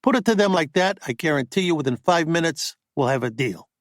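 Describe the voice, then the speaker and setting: Brash male agent, rapid-fire and profane, pitched up, read by a male narrator. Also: expressive, no room sound, studio quality, lightly acted. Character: brash fast-talking male Hollywood agent.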